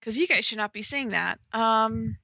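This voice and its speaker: A young woman bantering casually with a friend on a livestream, giggly, excitable and teasing. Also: a little high, moderate pace, gravelly, no room sound, muffled recording. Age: young adult. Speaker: streamer.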